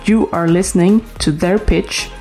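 A woman's voice: on the low side, fairly even intonation, unhurried, dark tone, faint music behind. A woman host reading a scripted introduction to a podcast episode. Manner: reads from a script.

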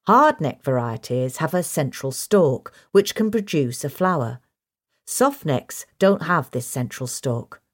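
A woman reading a scripted question-and-answer guide in a measured, instructional manner. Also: low voice. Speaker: reader of a magazine article.

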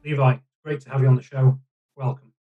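A man's voice: mid-range voice, little pitch movement, brisk, narrow, tinny audio, faint music behind. A man hosting a podcast, reading an upbeat introduction and welcoming his guest. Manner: upbeat, promotional. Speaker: podcast host. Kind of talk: scripted introduction and interviewing.

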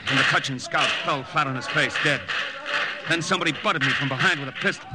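An actor playing a brash, wry young American secret agent in an old radio drama, with punchy hard-boiled delivery. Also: male voice, a little high, brisk, heavy background noise, close to an everyday voice. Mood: tense narrating. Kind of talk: 1950s radio drama narration and dialogue.

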